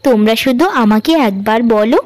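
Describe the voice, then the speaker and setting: A woman's voice: on the high side, a little fast, a little mellow, pitched below the performer's own voice, close to an everyday voice. A woman voicing a trusting, timid youngest sister in a cartoon moral tale, earnest and pleading. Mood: trusting, eager.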